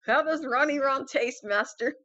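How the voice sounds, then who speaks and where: A woman's voice: high-pitched, moderate pace, pushed and bright, dry recording. A woman co-hosting a casual read-aloud of fan fiction, somewhat hesitant, on a dull lo-fi recording. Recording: dull, lo-fi, dry close sound.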